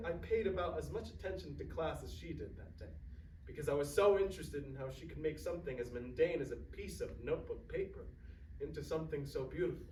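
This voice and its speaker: Stage drama: sentimental, gentle grandfather male actor, reflective delivery; distant mic, faint noise. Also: on the high side, roomy sound, lightly acted. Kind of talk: staged play reading.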